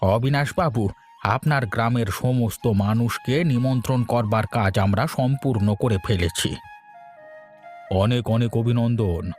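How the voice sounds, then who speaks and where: A man's voice: mid-range voice, measured pace, darkish tone, close to an everyday voice. A man playing a generous, self-satisfied village elder in a cartoon folk tale, hearty and declamatory. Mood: pleased, hearty. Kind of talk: cartoon fairy-tale dialogue. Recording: faint music behind the voice.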